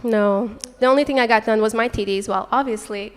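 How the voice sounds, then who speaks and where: A woman's voice: on the high side, noisy background. A young woman from Portugal, a native Portuguese speaker, doing a crude, brash stand-up set on a club stage into a handheld mic. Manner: brash, crude punchlines with quick crowd asides. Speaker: stand-up comedian. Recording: live club recording with long reverb. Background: audience laughter and chatter.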